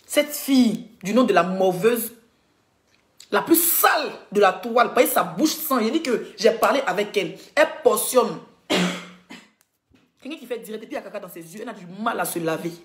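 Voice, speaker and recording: A woman's voice: mid-range voice, animated, moderate pace. A woman blogger ranting indignantly on a live stream, coughing with a cold and addressing her viewers directly. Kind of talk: heated rant on a live stream. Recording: echoey room.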